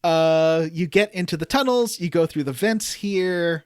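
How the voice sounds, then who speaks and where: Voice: mid-range voice, measured pace, darkish tone, clean tone, dry recording, studio quality. A podcast co-host giving a casual, comedic recap of a video game story and acting out the characters' lines. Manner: acts out characters' lines in quoted voices.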